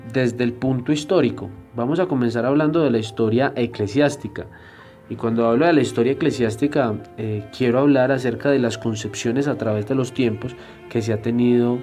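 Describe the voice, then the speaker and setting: A man lectures on church history in a measured, explanatory voice, neither high nor low. He often stops to hesitate before pushing on. Faint music plays softly behind him. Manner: deliberate, explanatory, frequent hesitation fillers. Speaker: Bible teacher.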